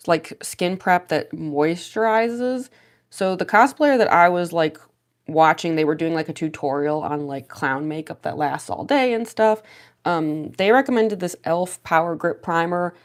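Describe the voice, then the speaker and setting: A young woman with a slightly low voice talks casually on a livestream while she does her makeup. She rambles along with plenty of fillers and quick little laughs. She sounds a bit stuffy and sniffly, still getting over a cold. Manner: rambling, many fillers, laughs often, reads chat aloud. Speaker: cosplay livestreamer.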